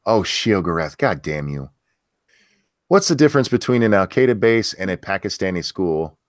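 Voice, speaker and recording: A man with a deep voice hosting a casual livestream chat, reading viewer questions in an easygoing, crude, joking way. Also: lively intonation, normal speed, dry recording, studio quality.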